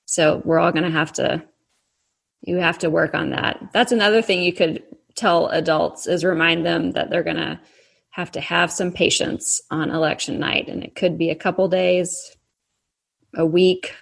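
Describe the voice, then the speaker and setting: A woman with a faint Texas accent talks through her subject in a friendly, patient way, the way you would explain something to a child. Her voice sits low and has a gravelly edge to it. It comes across as a casual interview chat. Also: fairly even intonation, moderate pace. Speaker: author guest.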